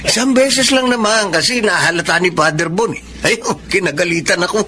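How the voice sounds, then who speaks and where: A rough young Filipino man with a soft spot, in a radio drama. The voice is high and forceful, with an offhand, blunt delivery. Faint noise sits behind it. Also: fairly quick. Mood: cheeky, candid. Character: Goyong, rough, stubborn young troublemaker son, softening.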